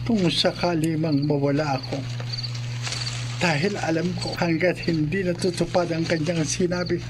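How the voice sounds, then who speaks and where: A man playing a grave old folk healer in a radio horror drama, solemn and deliberate. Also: on the high side, close to an everyday voice. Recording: noisy rustling, crickets behind.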